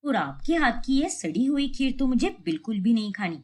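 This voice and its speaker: Woman acting a vain whiny mother-in-law, high swooping voice. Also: very animated, moderate pace. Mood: furious. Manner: whiny, self-important. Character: vain, whining, resentful middle-aged mother-in-law. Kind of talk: animated cartoon family drama.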